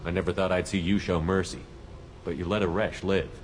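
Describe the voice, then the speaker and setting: A man's voice: low voice, flat delivery, fairly quick, close to an everyday voice. An actor voicing a hardened male starship commander who speaks firm and direct, in a game cutscene. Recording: game audio with faint ambient noise.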